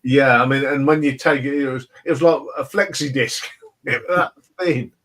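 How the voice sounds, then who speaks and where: A man's voice: medium pitch, moderate pace, fairly bright tone. A man chatting informally and telling anecdotes as a record collector. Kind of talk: casual chat with anecdotes.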